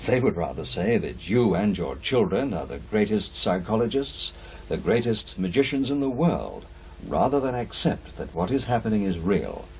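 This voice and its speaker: Courteous academic investigator for radio play: earnest, formal, slightly low voice with expressive swings, faint noise behind. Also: male voice, normal speed. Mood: earnest explaining. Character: polite, earnest male professor investigating strange happenings. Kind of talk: naturalistic radio drama acting.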